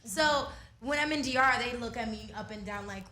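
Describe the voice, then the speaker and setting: A woman guest from a girl group chatting casually in an interview, lively and self-deprecating. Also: fairly high pitch, normal speed, roomy sound, a little hiss or hum.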